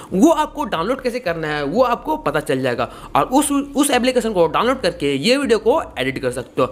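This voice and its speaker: A young man hosts a casual tutorial. His pitch moves up and down in a lively way as he explains each step, often repeating himself. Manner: repetitive, explanatory. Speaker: tutorial host.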